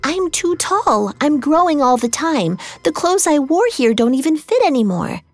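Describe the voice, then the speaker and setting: A woman's voice: sits high, very animated, normal speed, dry recording, soft background music, pitched above the performer's own voice. A woman voicing a timid, starving child in a storybook tale, pleading meekly and stammering. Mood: pleading, protesting.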